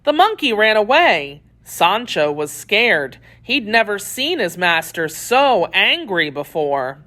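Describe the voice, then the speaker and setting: A woman narrating a children's story from a script, telling it in an expressive, deliberate way. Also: mid-range voice.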